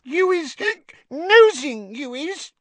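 A narrator voicing a tipsy, weepy, fiercely loyal female house elf, squeaky and whimpering in broken servant grammar. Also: big pitch swings, takes it slowly, dry recording, heavily acted. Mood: indignant, secretive.

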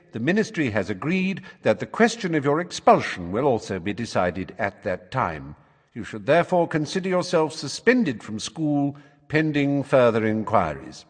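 A man's voice: mid-range voice, long reverb tail, pitched above the performer's own voice. Man reading as officious, lilting female ministry official. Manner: formal, officious.

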